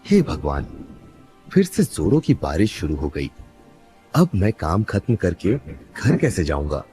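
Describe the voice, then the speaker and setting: A man's voice: sits low, very animated, a little slow, warm tone, slightly rough, soft background music, exaggerated voice. A man playing a poor, earnest village labourer in a cartoon moral story, with a pleading, trusting manner. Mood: distressed.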